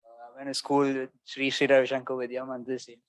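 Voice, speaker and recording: A man's voice: medium pitch, monotone, fast talker, dry recording, rough recording. A young man student giving a brief, laid-back self-introduction. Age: young adult. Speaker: student guest.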